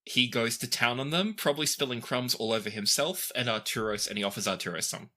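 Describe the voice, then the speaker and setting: A woman's voice: very deep voice, fast, pushed and bright. A woman running a tabletop game as game master, improvising the story aloud for her players.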